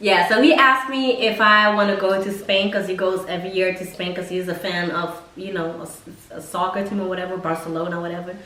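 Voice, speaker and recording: A young woman casually telling personal stories to camera in a blunt, expressive, slangy way with plenty of swearing. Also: on the low side, a little fast, few pauses. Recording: echoey room, mic at a distance.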